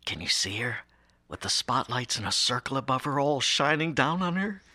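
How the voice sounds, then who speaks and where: An actor playing an up-and-coming art museum director in an audio drama, earnest, effusive and theatrical, easily flustered. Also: male voice, medium pitch, sing-song, moderate pace, sharp tone, exaggerated voice. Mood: hushed, dreamy. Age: young adult.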